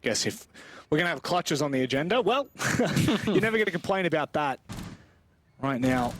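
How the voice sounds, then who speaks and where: A man's voice: a little high, lively intonation, moderate pace, fairly bright tone, no room sound. A man giving chatty, colloquial live esports play-by-play commentary. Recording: gunfire and clicks behind voice. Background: video game gunfire.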